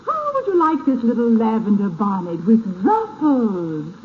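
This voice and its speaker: High, polite shopgirl. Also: huge pitch swings, measured pace. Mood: courteous, coaxing. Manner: courteous, coaxing. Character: polite, patient, eager-to-please hat shop saleswoman. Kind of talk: 1930s radio comedy acting. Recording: tinny, hissy 1930s radio broadcast.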